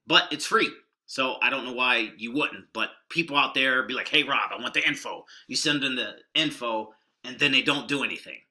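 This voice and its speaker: Middle-aged guy with a bright ringing voice, rambling, folksy, keeping it quiet. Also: medium pitch. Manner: rambling, folksy and deliberately subdued. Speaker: online marketing video host. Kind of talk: casual screen-share walkthrough.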